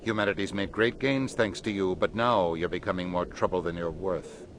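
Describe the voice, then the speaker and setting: Low-voiced condescending politician. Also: male voice, normal speed, noisy background. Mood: stern, smug. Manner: formal, condescending political delivery. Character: curt, self-serving middle-aged male human ambassador. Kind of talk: dramatic video game acting.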